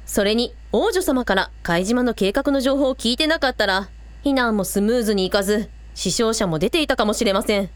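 A confident older sister, voiced by a woman for a radio drama. She has a very high voice in a dry recording, with a frank, blunt way of talking that can turn formally polite. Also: a little fast, no room sound, lightly acted. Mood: grateful. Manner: blunt, casual, formal when addressing royalty.

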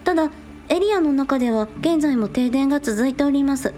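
A woman's voice: sits high, normal speed. A young woman playing a bubbly, earnest teenage girl idol in a Japanese audio drama. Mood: earnest reporting. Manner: excitable, cute, earnest.

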